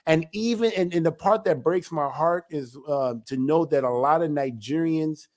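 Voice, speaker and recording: A man, an elder of a ministry, giving a religious teaching talk in an emphatic, animated way. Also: a little high, normal speed. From United States.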